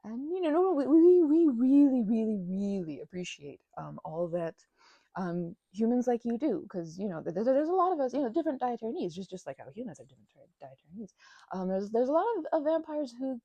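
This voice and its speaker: Woman acting a timid, stammering, husky vampire. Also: on the high side, expressive, mellow, no room sound, highs cut off. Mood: shy, earnest. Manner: stammering, halting, nervous laughter, rambling apologies. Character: timid, apologetic, grateful female vampire, awkward and nervous. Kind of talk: audio roleplay addressed to the listener.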